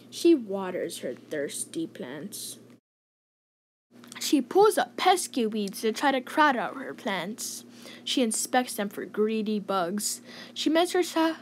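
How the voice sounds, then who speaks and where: A woman reading a children's story aloud in an expressive, clearly enunciated storytelling manner. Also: high-pitched, measured pace, slight background noise. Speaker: host reading children's picture book aloud.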